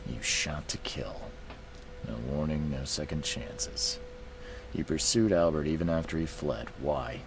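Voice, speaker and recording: Very deep, gravelly male private eye. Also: a little slow, background noise. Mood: stern. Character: world-weary male private investigator, middle-aged, blunt. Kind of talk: video game dialogue acting.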